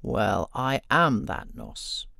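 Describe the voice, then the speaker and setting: A woman puts on a mighty young fairy-tale hero, his voice dropped very low. He speaks tersely and calmly, afraid of nothing, in a dry, close recording. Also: extremely slow, vocal fry, no echo, exaggerated voice. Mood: hearty, confident.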